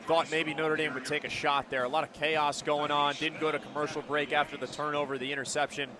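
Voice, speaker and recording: American male football analyst, fairly high voice. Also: level tone, a little fast, a crowd in the background. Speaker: radio color commentator. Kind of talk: live football analysis between plays. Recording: clear stadium noise behind broadcast commentary. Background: outdoor stadium ambience.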